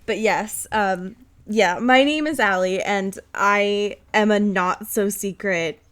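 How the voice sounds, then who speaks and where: A woman's voice: mid-range voice, takes it slowly, fairly bright tone. A woman co-hosting a podcast in casual chat, gushing and emphatic with a self-mocking streak. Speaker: podcast co-host.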